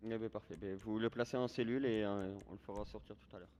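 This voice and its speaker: A man's voice: lowish voice, fairly even intonation, quick pace, darkish tone. A man playing a police commander in improvised online role-play, giving bossy, formal orders mixed with threats. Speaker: player acting as a police commander. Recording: gaming voice chat with background noise.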